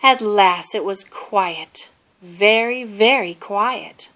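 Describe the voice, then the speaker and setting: A woman reading a children's story aloud as an expressive narrator. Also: medium pitch, takes it slowly. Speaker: storybook reader.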